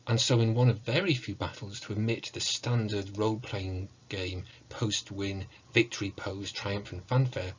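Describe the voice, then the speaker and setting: A man with a fairly low voice delivers an academic lecture. His phrasing is formal and measured, like prepared scholarly material. The recording is a little dull, with faint noise behind him. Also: fairly even intonation. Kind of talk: academic lecture on video game music. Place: book-lined room at home, indoors.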